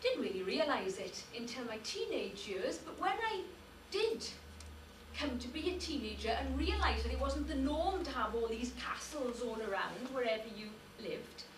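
A middle-aged woman with a Welsh accent, a native Welsh speaker, giving a measured public lecture as a university lecturer. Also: high-pitched, big pitch swings, recorded from a distance, background noise. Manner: measured, lists names with careful emphasis. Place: darkened lecture hall. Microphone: far room recording. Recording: echoey hall recorded from afar.

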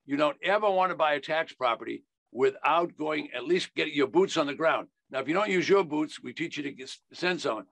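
An older American man with a fairly high, creaky voice and a sharp edge. He teaches like a folksy salesman, hammering key phrases. The sound is dry and close, from a video call. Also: expressive, a little fast, few pauses, no room sound. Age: older, 70s. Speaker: investing educator. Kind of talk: instructional sales talk.

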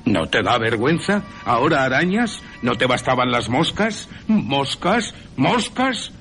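A man's voice: mid-range voice, big pitch swings, measured pace, a bit bright, slight background noise, pitched above the performer's own voice. A man voicing a scornful male asylum nurse, scolding, in a radio drama.

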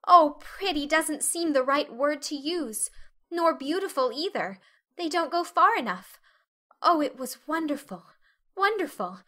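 A woman's voice: high voice, expressive, measured pace, sharp tone, pitched above the performer's own voice, full character voice. A woman voicing a dreamy, talkative, imaginative orphan girl in a dramatic audiobook reading, with a rapturous, effusive manner.

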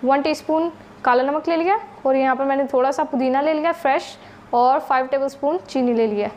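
A woman's voice: high voice, brisk, a little mellow. A woman hosting a home cooking channel with a Pakistani Urdu accent, patiently explaining each recipe step to her viewers.

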